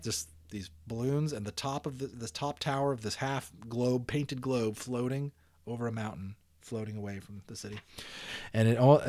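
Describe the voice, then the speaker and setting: A man reading a children's story aloud in an expressive, theatrical manner, speaking directly to listeners. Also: medium pitch, no room sound, studio quality. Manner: theatrical, engaging listeners with questions. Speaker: audiobook reader.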